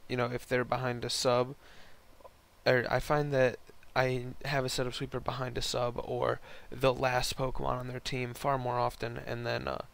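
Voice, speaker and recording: A young man hosts casual game commentary in a hoarse voice with heavy creak and fry at a middling pitch. He rambles in a laid-back, slangy way as he talks through the battle. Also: monotone, moderate pace, fairly bright tone. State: hoarse, voice partly lost from concert the night before. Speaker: host narrating online game battles.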